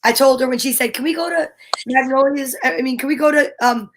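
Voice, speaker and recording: High-voiced, blunt American woman. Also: brisk, background noise. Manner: blunt, emphatic, opinionated. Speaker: talk show co-host. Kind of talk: casual chat.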